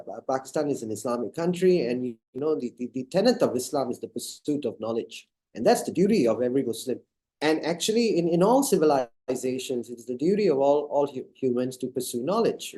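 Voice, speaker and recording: A man's voice: medium pitch, big pitch swings, fairly quick, warm tone. A man from Singapore moderating an online panel discussion, talking in a hesitant way with many fillers and restarts.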